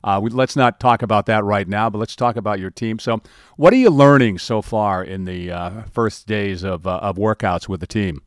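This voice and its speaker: A man's voice: fairly low pitch, normal speed, no echo, clean studio sound. A man hosting a sports news interview, asking questions in loose, hesitant phrasing.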